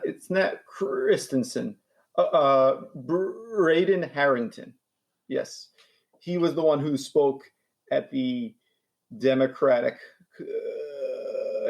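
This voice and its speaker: A man in his forties who stutters, repeating words and restarting lines as he speaks. His voice sits at an ordinary middle pitch for a man. He talks as a guest in a casual interview. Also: darkish tone. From New York, USA. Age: 40s.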